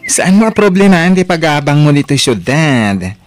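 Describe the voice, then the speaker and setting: A man playing a sassy, girlish, chatty friend in a radio drama, with exaggerated, drawn-out exclamations. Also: a little high, very animated, soft background music. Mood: playful, exclaiming. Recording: noisy radio drama recording.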